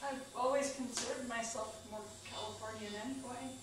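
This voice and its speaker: A woman's voice: fairly high pitch, fairly even intonation, moderate pace. A woman reading a personal monologue aloud on a small theater stage. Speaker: performer at a live stage reading. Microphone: distant camera microphone. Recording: echoey noisy theater, far-off camera.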